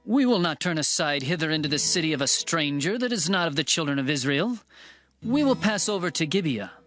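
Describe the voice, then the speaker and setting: Resolute wayfaring master played by a man, high register, firm archaic speech, faint music bed, biblical audio drama. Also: expressive, a little fast, a bit bright. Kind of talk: dramatized scripture reading, archaic English.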